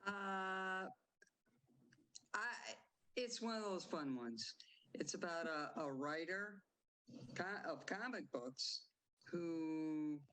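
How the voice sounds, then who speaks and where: A woman playwright answering interview questions haltingly, breaking off words and starting again. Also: fairly low pitch, lively intonation, fairly bright tone, poor audio. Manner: halting, cuts off words and restarts.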